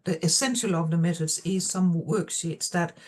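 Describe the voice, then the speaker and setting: A woman with a Danish accent and Danish as her first language, a professor presenting research in a seminar talk over a video call. She speaks hesitantly, with many pauses and fillers. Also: on the low side, a little mellow.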